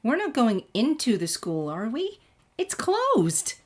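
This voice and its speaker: A woman's voice: fairly high pitch, wildly swooping pitch, takes it slowly, husky, voice pushed up, exaggerated voice. A woman voicing an eager, curious teenage schoolgirl in a chirpy, easily flustered manner for a story reading. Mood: nervous. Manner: bright, questioning, easily flustered.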